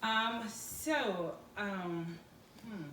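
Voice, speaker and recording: A middle-aged woman from Congo whose first language is French, speaking with a French-influenced accent and giving a hesitant public talk full of pauses. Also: medium pitch, a bit bright, slight background noise. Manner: hesitant, halting, many pauses and fillers. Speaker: food entrepreneur speaker. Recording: roomy, distant from the mic, faint background ambience.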